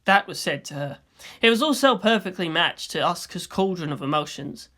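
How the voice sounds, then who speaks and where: Female narrator for fiction: bright, forward tone with animated, swooping delivery. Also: fairly low pitch, fairly quick. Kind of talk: scripted story narration.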